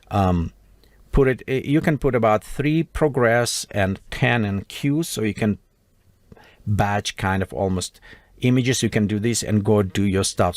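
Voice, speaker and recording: Tutorial host, male, Russian accent, non-native English, lowish voice, dry close mic, talks while typing. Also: no echo. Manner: thinks aloud while typing, with broken non-native grammar. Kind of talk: screen-recorded software tutorial.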